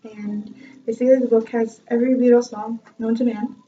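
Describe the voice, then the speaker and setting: A woman's voice: fairly high pitch, little pitch movement, fairly quick, warm tone. Laughing young woman, dull distant sound. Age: young adult. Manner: chatty, self-mocking, laughs often. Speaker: vlogger and music student. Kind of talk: casual storytelling vlog.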